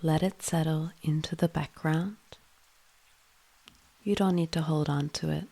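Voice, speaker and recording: A woman leading a guided yoga nidra meditation in a soothing, hushed manner, with phrases often trailing into breath. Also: low voice, steady tone, a little slow, gravelly.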